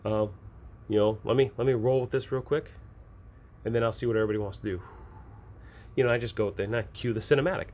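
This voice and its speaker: A man's voice: mid-range voice, fast talker, pauses often, a little hiss or hum. A man hosting a tabletop game advice show, talking casually and with enthusiasm, slipping into improvised scene descriptions in colloquial, folksy phrasing. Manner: enthusiastic, animated when acting out examples.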